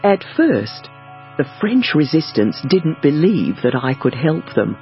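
A man reading a first-person life story slowly and carefully for language learners, on a dull lo-fi recording. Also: fairly high pitch, very animated, soft background music. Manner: slow, careful, clearly paced reading. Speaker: audiobook reader.

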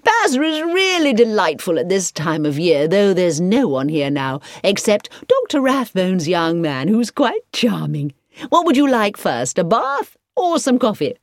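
A woman voicing a smiling, energetic middle-aged hostess who gushes in a high voice. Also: a little slow, no room sound, clean studio sound. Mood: gushing.

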